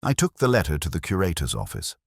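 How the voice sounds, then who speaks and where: A man reading a scripted first-person literary detective story in a measured, melancholy, reflective manner. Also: extremely low pitch, huge pitch swings, creaky, dry recording, studio quality.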